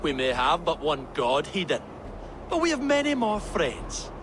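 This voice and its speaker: A man's voice: on the high side, sing-song, unhurried, background noise. An actor playing a proud, defiant Pictish war leader in a video game, declaiming his lines. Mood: proud defiance. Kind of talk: dramatic video game acting. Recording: clear outdoor ambience behind voice.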